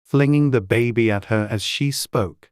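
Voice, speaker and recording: A man's voice: medium pitch, a little slow, clear, resonant tone, dry recording, studio quality. A man reading scripted storybook narration as an audiobook narrator.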